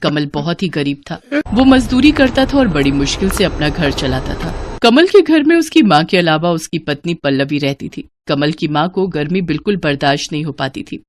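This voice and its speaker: A woman's voice: sits low, lively intonation, normal speed, background noise. A woman reading scripted narration for a storybook tale.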